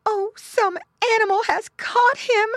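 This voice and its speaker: Woman playing a fretful, high-voiced mother pig. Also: big pitch swings, measured pace, no room sound, clean studio sound. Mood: alarmed. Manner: fretful, exclaiming. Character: anxious motherly female pig. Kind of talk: children's storybook character voice.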